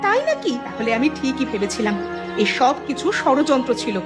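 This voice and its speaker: A woman's voice: sits high, big pitch swings, moderate pace. A woman playing a wronged, indignant village wife in a cartoon drama. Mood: suspicious. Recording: loud music behind the voice.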